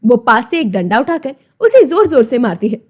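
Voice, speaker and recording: A woman's voice: on the high side, big pitch swings, normal speed, darkish tone. A woman narrating a scripted moral story as its storyteller.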